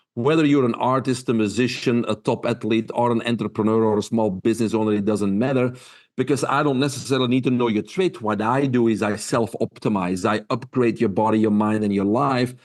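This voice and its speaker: A man in his fifties from Belgium with a Belgian accent, giving earnest interview answers full of fillers and restarts. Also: medium pitch. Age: 50s. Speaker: guest.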